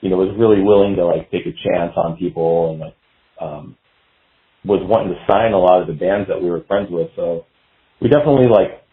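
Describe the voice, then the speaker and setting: Male interview guest, very low voice, casual and meandering, roomy lo-fi recording. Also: a little fast. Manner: hesitant, meandering, filler-heavy. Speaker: guest musician, guitarist. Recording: dull lo-fi roomy call sound.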